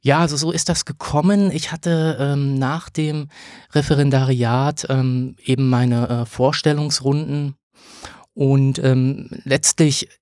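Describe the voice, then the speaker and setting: Man, thirties, lawyer guest, hesitant, filler-heavy, dry sound, mid pitch. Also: fairly even intonation, a little mellow, no echo. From Germany. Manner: many filler sounds and pauses. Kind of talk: hesitant interview answers.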